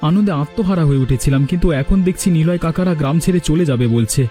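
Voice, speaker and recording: Guy doing a young village lad in a cartoon, earnest, highish mellow voice, faint music under it. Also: racing, natural voice. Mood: disappointed. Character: young village man, earnest and indignant nephew figure. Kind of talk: cartoon character dialogue.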